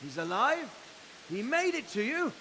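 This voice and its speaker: A man's voice: extremely high pitch, big pitch swings, unhurried, pushed and bright, full character voice. A man playing a commanding, wry medieval nobleman and soldier who projects with authority in a video game cutscene. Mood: grave, relieved. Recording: long reverb, faint rainy hiss.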